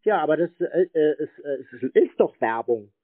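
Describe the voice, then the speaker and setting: Higher-pitched man, thin phone-like sound. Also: sing-song, brisk, dry recording. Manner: stubborn, needling, repetitive. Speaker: prank-calling scam baiter. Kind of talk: argumentative phone conversation.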